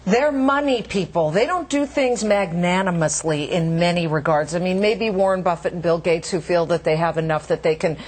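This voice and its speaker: A woman's voice: on the low side, normal speed, few pauses, darkish tone. A woman co-hosting a business news panel, pressing her points emphatically. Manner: emphatic, questioning. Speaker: news co-host.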